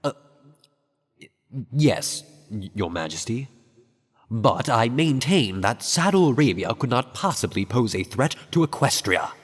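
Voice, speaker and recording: A man's voice: medium pitch, very animated, a little slow, husky. An actor playing a male pony royal chamberlain in a radio drama, speaking with formal, courtly deference and polite skepticism. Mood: deferential objection. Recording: reverberant hall.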